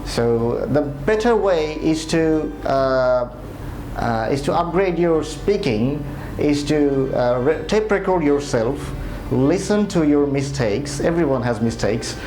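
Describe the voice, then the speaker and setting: A man giving exam speaking tips as a tutor, often pausing on "uh" and restarting his sentences. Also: a little high, animated. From Bangladesh. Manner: hesitant, many "uh" fillers and restarts. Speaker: IELTS tutor. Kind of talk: spoken exam tips lesson. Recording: roomy echo, soft music behind.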